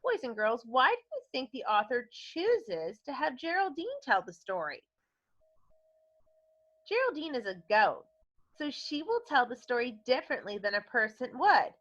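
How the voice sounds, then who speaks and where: A woman's voice: sits high, big pitch swings, moderate pace, a bit bright. A woman speaking as an elementary teacher, instructing young children and putting questions to them during a read-aloud lesson. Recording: dull, lo-fi sound.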